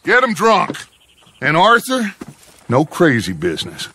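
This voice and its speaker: An actor playing a persuasive, grandiose American outlaw gang leader in a western video game, speaking with deliberate authority. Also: male voice, fairly high pitch, huge pitch swings, pushed and bright, slightly rough, heavily acted. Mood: insistent. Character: commanding, persuasive middle-aged male outlaw gang leader. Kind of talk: video game cutscene acting.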